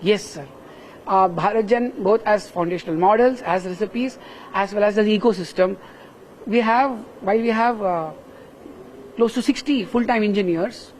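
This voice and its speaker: A man's voice: high voice, moderate pace, low-quality recording. Indian professor guy explaining stuff, keeps saying "right?", faint event hum behind. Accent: Indian English. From Kerala, India. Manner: earnest, explains step by step with frequent "right?" tags. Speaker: professor interviewed at a tech summit. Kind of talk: explanatory interview answers. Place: busy summit venue.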